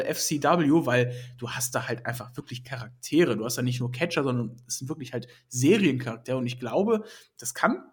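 A man's voice: on the high side, breathy edge. A man co-hosting a casual podcast, talking with enthusiasm and joking along. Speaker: podcast co-host. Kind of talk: casual wrestling chat.

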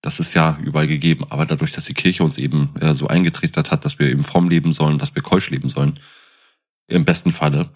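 A man's voice: extremely low pitch, level tone, quick pace. A man chatting casually as a podcast co-host, explaining and asking probing questions.

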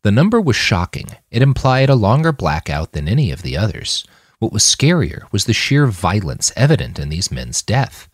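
Deep-voiced man narrating with wide swings. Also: big pitch swings, moderate pace, no room sound, clean studio sound. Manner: dramatic, acts out character dialogue. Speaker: author reading his fiction podcast. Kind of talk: scripted audiobook narration.

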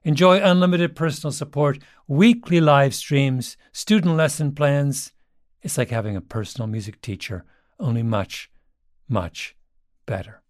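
A man's voice: a little high, measured pace, no echo, clean studio sound. A man presenting a scripted advertisement in a conversational, direct-to-listener way.

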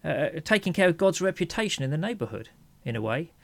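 Gravelly male voice, dry close sound, podcast co-host, hesitant, reflective chat. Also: a little high, big pitch swings, quick pace, no echo. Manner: hesitant, thinking aloud. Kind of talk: casual reflective discussion.

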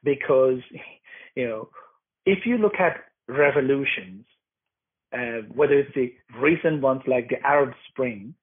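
A man, a documentary maker answering interview questions earnestly, pausing to find his words. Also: medium pitch, husky. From Sri Lanka. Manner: earnest, searching for words, emphatic. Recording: phone-like remote line.

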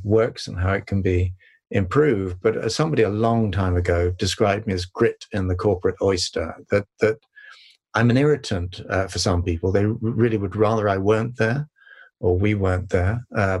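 An older man with a calm voice, answering interview questions in a measured, reflective way with many pauses and restarts. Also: deep voice, vocal fry, breathy edge. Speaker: sustainability consultant and author, guest.

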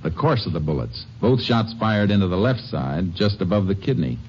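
An actor playing a calm, shrewd Texas Ranger investigator in a 1950s radio drama, talking in a steady, deliberate way. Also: male voice, deep voice. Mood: calm, probing. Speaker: lead actor. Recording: dull old radio recording with faint hiss.

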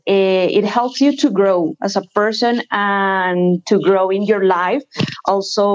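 A Colombian woman talks in slow, deliberate English. Her voice sits in a middle pitch. The call audio sounds dull and lo-fi. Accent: Colombian Spanish. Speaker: virtual assistant, trained English teacher. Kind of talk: video call interview.

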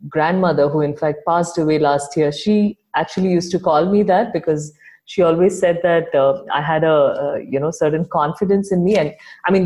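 A woman's voice: sits low, warm tone, poor audio. A woman from Chennai, a Tamil speaker, answering podcast interview questions thoughtfully as an entrepreneur guest. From Chennai, India.

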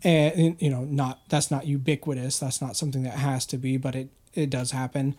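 A man's voice: mid-range voice, flat delivery, brisk, darkish tone. A young man in casual podcast chat, rambling with lots of fillers and swearing, sitting back from the microphone. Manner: rambling, profane, filler-heavy. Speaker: podcast co-host. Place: indoor studio, leaning away from mic.

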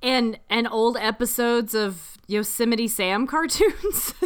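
A woman's voice: fairly high pitch, slow pace, clear, resonant tone. A woman co-hosting a podcast in casual chat, enthusiastic and easily delighted, sounding tired. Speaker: podcast co-host.

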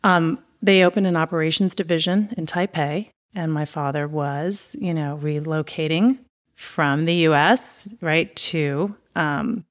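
A woman's voice: low-pitched, a little slow. A middle-aged woman from Taiwan and Long Island telling her family story as an interview guest, chatty and laughing. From born in Taiwan, raised on Long Island.

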